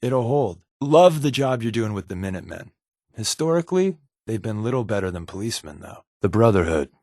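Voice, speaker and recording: A man's voice: fairly low pitch, big pitch swings, brisk, dark tone, dry recording, studio quality. A man with an American accent playing a wry, evasive spy companion in a video game, talking conversationally with hesitant asides. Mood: wry, casual, then dismissive.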